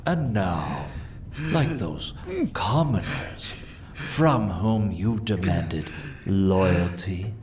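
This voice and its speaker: Slow, deep spectre of plague. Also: male voice, expressive, darkish tone, slight background noise, exaggerated voice. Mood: stern condemnation. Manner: slow, solemn, ominous pronouncements. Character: Red Death as masked mummer, menacing merciless spirit of plague. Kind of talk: theatrical gothic audio drama acting. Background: dramatic music.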